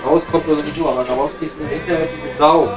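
Man acting a cheeky coal miner, Ruhr accent, lightly high pitch, somewhat distant mic, rambling pub banter, comedy audio play. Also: steady tone, fast talker, close to an everyday voice. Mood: grumbling. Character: cheeky, girl-chasing greying male coal miner in a pub. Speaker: comedy performer. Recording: distant from the microphone. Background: music.

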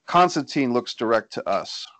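A man's voice: medium pitch, very animated, moderate pace, a bit bright, no room sound. A man reading stage directions as scripted narration at a screenplay table read, recorded indoors over a video call.

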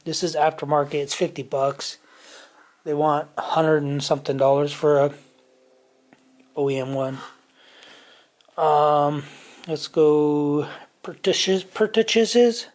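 A man casually talking through motorcycle parts in his home garage, rambling with many pauses and fillers, recorded on a handheld camera. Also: fairly high pitch, fairly even intonation. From California. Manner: rambling, many ums and restarts. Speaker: motorcycle vlogger. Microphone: handheld camera mic, off-screen.

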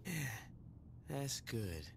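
An actor playing a cocky, laid-back gang leader in a video game, talking bluntly and dismissively in slang. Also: male voice, mid-range voice, very animated, drawn-out words, creaky, in a large hall, noisy background, exaggerated voice. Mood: groggy, laid-back. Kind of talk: video game cutscene acting.

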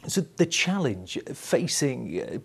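A man's voice: mid-range voice, big pitch swings, slow, vocal fry, fairly bright tone, slightly rough. A man, a news reporter narrating a report and answering an anchor's questions. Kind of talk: scripted news narration and studio debrief.

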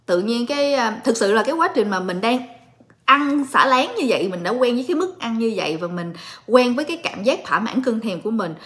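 A woman in her late twenties chatting calmly and directly to viewers in a casual home vlog. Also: medium pitch. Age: late 20s. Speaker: vlogger and story reader. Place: living room at home.

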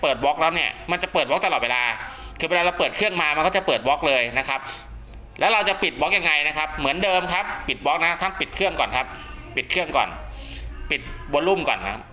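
A man's voice: fairly high pitch, animated, fast talker, bright, forceful tone. A man giving a casual spoken how-to demonstration, often checking that listeners follow along. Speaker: radio hobbyist presenter.

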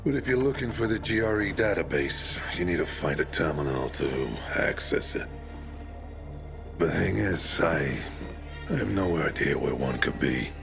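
An actor playing a bitter, burnt-out, drunken former commander in a video game, weary, defeatist and foul-mouthed. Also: male voice, low-pitched, creaky, heavily acted. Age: middle-aged. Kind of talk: dramatic video game acting.

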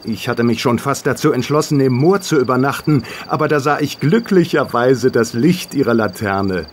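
An actor playing a smooth, insinuating male stranger, a sly racing spy, in a German radio play. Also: mid-range voice, moderate pace, a little hiss or hum, natural voice. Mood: affable. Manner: glib, polite, coaxing.

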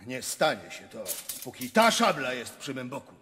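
An actor playing a proud, idealistic young prince and officer in a radio drama, declaiming earnestly and solemnly. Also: male voice, medium pitch, very animated, normal speed, a bit bright, slight background noise. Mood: defiant. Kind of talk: theatrical radio drama acting. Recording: long hall-like reverb.

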